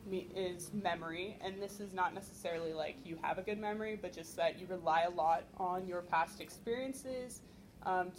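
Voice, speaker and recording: A young woman explaining personality theory at a convention panel without a microphone, often pausing on "um". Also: fairly low pitch, a little hiss or hum. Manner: explanatory, filler-heavy with frequent ums. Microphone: no microphone, recorded from the room.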